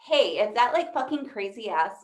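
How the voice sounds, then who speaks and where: A woman's voice: on the high side, very animated, moderate pace, slight background noise. A woman doing conversational stand-up comedy, rambling and swearing as she interrupts herself, recorded in an echoey room. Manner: rambling, profane, self-interrupting.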